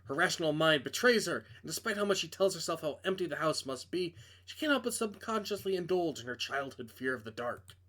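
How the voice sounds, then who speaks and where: A man's voice: on the high side, lively intonation, brisk, few pauses, a bit bright. A man reading a visual novel aloud as dramatic narration, with casual asides when he stumbles and corrects himself.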